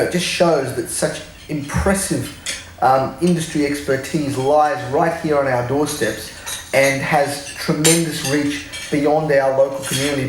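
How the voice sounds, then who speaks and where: A man's voice: medium pitch, background noise. A man introducing a guest speaker to an audience, hesitant and full of fillers. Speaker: event host introducing the speaker. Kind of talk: spoken introduction. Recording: echoey room, faint dish clatter.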